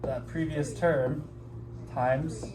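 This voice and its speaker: A man's voice: fairly high pitch, fairly even intonation, extremely slow. A man teaching a math class, patiently explaining and asking his students questions, sounding a little out of breath. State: slightly out of breath. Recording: distant mic, noisy classroom.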